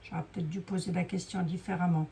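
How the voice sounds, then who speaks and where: A woman with a hoarse voice giving a casual, rambling card reading, full of fillers and asides to her viewers. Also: lowish voice, fairly even intonation, fast, mellow. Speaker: clairvoyant doing a card reading. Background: fan noise.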